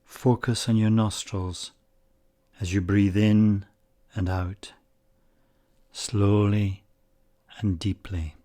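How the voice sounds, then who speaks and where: A man leading a guided meditation in calm, measured short phrases with long pauses. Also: lowish voice.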